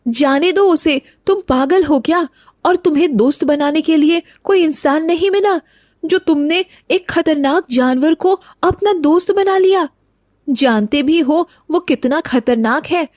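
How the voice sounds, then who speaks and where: A woman's voice: sits high, lively intonation, a little slow, darkish tone, no echo, studio quality. A woman playing a fearful, scolding village wife in a cartoon story, speaking insistently. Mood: annoyed, dismissive. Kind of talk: scripted Hindi cartoon story dialogue. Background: background music.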